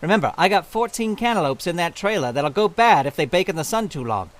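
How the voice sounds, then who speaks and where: An actor playing a confident, breezy young magician-detective in a radio comedy mystery, brisk and unflappable. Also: male voice, sits high, lively intonation.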